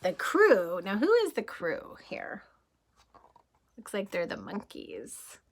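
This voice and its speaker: A kindergarten teacher, a woman, reading a picture book aloud to young children, gently and expressively, pausing to ask them questions. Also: mid-range voice, huge pitch swings, slightly rough.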